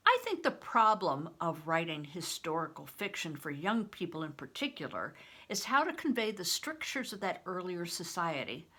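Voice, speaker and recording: Bright-voiced older woman reading aloud, lively intonation. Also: medium pitch. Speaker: read-aloud host. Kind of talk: reading aloud an interview with informal asides.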